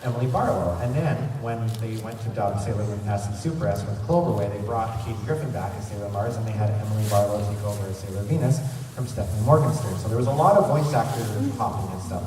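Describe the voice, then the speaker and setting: A man's voice: on the low side, fast talker, darkish tone. A man chatting enthusiastically as he hosts a casual fan convention panel. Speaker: panel host. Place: large convention centre panel room. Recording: echoey noisy convention hall, distant mic.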